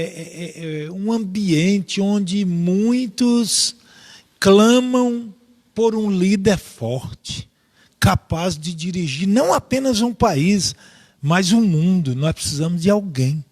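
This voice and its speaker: A man with a Brazilian accent teaching a Bible study in a deliberate, halting way, often checking in with listeners. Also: sits high, animated, a little mellow, no room sound, studio quality. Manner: deliberate, halting, with frequent rhetorical check-ins.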